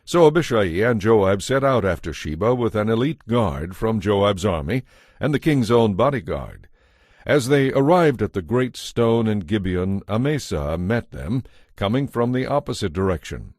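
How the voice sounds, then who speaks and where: Low-voiced male scripture narrator. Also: sing-song, moderate pace, darkish tone, dry recording, studio quality.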